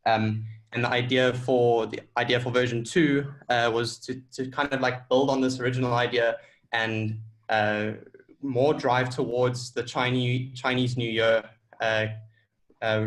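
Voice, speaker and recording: A man gives an informal conference-call presentation about a tech project. His pitch is middling and stays fairly flat from phrase to phrase. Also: poor audio.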